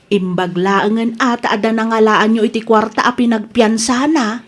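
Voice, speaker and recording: Woman acting a caring, higher-pitched Ilocano mother. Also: steady tone, normal speed, lightly acted. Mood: concerned. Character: concerned, caring older mother. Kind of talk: Ilocano radio drama acting.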